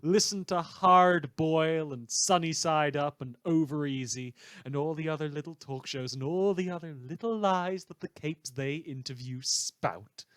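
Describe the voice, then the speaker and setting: A theatrical man acting as a radio newsman delivers satirical monologues in a fairly high male voice. He is sardonic and bitter, stretching emphatic words for effect. The sound is very dry, as in a scripted audio drama. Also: unhurried, hardly any pauses, fairly bright tone, no room sound. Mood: bitter, disillusioned. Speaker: radio news show host.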